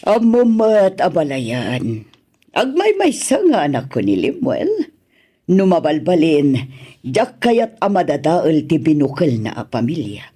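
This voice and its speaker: A man's voice: a little high, wildly swooping pitch, slow, dark tone, breathy edge, faint music behind, exaggerated voice. A man with an Ilocano accent playing an aggrieved older parent, earnestly pleading for a wronged son in a radio drama. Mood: aggrieved, worried.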